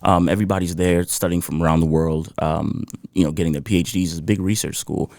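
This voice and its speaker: Man from Ghana, very low voice, relaxed storytelling, chuckles often, dry close sound. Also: quick pace, vocal fry, no room sound. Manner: easygoing, laughs often, leans on "you know". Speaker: guest musician. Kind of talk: casual interview storytelling.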